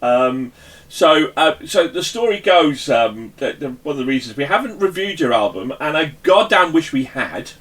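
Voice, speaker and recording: Animated man hosting a music interview. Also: on the high side, moderate pace, distant mic. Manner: gushing, emphatic. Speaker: host of a music interview show. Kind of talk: casual, chatty interview.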